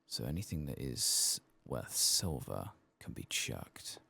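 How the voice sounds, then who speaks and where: A man speaking softly in a husky, gravelly voice, giving quiet, unhurried commentary as he streams a game playthrough. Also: rumbling low, very animated. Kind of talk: soft-spoken ASMR game playthrough commentary.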